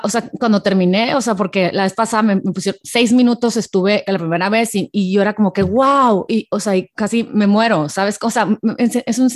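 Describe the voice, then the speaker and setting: A woman hosting an interview, chatty and casual, full of quick fillers and restarts. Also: medium pitch. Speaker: interview host.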